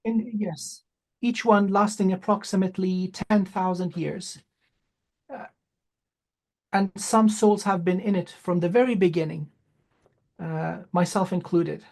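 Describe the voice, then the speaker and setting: A man whose first language is Farsi, speaking as an interview guest with many "uh" pauses and emphatic repetition. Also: high voice, fairly even intonation, creaky. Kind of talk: spiritual interview talk.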